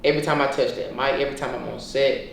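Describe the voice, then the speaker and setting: A man in his twenties speaks in a casual, earnest way, circling back over his phrases. His voice is low-pitched for the company he keeps. It comes from a little way off the mic, with heavy room echo. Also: fairly even intonation, fast talker, vocal fry, slight background noise. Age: 20s. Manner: earnest, repetitive, frequent tag questions. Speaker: guest. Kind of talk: casual chat between friends. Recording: very echoey room, distant mic, faint music.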